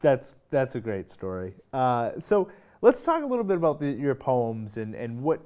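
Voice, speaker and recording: A man hosting a relaxed, conversational interview in a TV studio. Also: medium pitch, moderate pace, clean tone. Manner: relaxed, conversational, restarts often. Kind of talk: casual interview.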